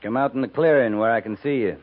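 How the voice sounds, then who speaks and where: An actor playing a steady, weary US cavalry captain in a 1950s radio western, speaking in a calm, laconic, plain-spoken way. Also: male voice, medium pitch, fast, close to an everyday voice. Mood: calm, reassuring. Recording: noisy, tinny 1950s radio recording.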